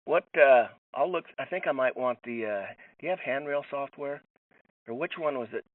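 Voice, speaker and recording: A man's voice: medium pitch, moderate pace, no room sound. A man chatting casually on the phone as a customer, in a folksy, salty, plain-spoken way. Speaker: customer who won a prize. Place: other end of a phone call. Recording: distant, tinny phone line.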